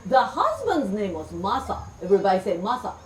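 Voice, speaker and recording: A middle-aged woman from Japan, telling stories to children in a slow, clear way and getting them to repeat words. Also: mid-range voice, wildly swooping pitch, crowd noise. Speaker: storyteller. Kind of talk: interactive storytelling for children. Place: room with a live child audience. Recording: roomy, distant sound with faint background noise.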